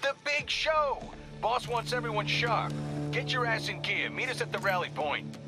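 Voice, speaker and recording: An actor playing a pushy, rough male street thug calling over the phone in a video game. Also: very high-pitched, moderate pace, over loud music. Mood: bossy. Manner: pushy, rough, stumbling speech.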